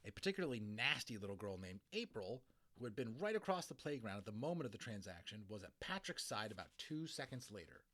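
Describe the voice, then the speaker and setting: A man reads a children's novel aloud as a dramatic narrator. His voice sits fairly low and is expressive, in a dry space with no room sound. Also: fast talker, no echo. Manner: expressive, storytelling. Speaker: audiobook narrator. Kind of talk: dramatic children's story reading with informal asides.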